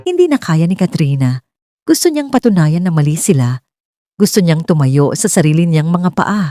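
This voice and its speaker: A woman's voice: low voice, big pitch swings, moderate pace, over loud music. A woman narrating a scripted dramatic romance story.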